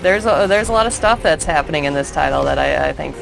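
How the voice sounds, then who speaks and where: A woman's voice: sits low, animated, fast, creaky, low-quality recording. A woman speaking as a game developer in a promotional interview, searching for words, with music behind her.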